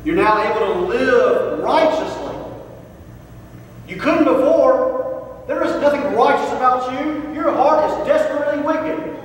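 A middle-aged man preaching a sermon from a pulpit, building through repeated lines, recorded from across a church sanctuary. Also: very high voice. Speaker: pastor. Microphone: far camera, pulpit microphone. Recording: echoey hall from far off, faint noise.

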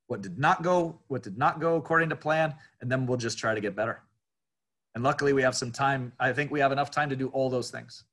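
A male college soccer head coach answering questions at a press conference, haltingly, repeating words as he thinks aloud. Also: mid-range voice, fairly bright tone.

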